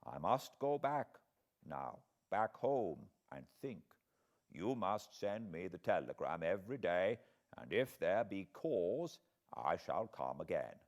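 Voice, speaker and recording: Slow, courtly old professor. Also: male voice, low voice, big pitch swings, exaggerated voice. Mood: grave, thoughtful. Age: older. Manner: slow, deliberate, halting broken-English phrasing. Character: elderly courtly foreign professor, kindly, grave, wise. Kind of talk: audiobook character voice.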